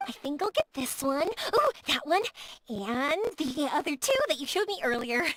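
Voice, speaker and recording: An actress playing a bubbly, excitable young woman traveler in an anime-style game, gushing and eager. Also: high voice, normal speed. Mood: delighted. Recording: music playing behind the voice.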